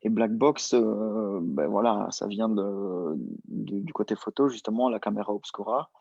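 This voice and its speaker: Gravelly, dark-voiced young man. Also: fairly low pitch, unhurried, no echo. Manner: relaxed, hesitant with many fillers. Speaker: entrepreneur guest. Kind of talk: casual interview answers. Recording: dull lo-fi sound.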